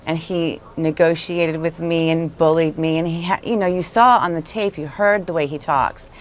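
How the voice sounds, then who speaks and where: Low, even-pitched woman over noisy background. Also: moderate pace. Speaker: interviewee. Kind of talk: recounting a personal experience in an interview. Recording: noisy interview clip playing.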